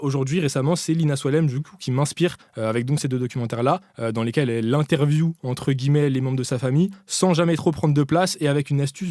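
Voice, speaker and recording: A man's voice: a little high, a little mellow, no echo, clean studio sound. A man hosting a casual panel chat and presenting a film column in an informal, slangy way.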